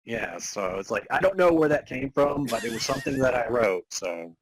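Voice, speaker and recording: A man's voice: mid-range voice, lively intonation, moderate pace, crowd noise. A man talking casually as a podcast co-host. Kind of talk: casual chat.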